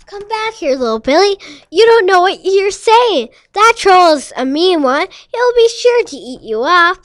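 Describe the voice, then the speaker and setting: A woman's voice: very high-pitched, big pitch swings, unhurried, no echo, heavily acted. A woman playing a protective big billy goat, the cautious older brother in a storybook tale, earnest and scolding. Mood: worried, warning.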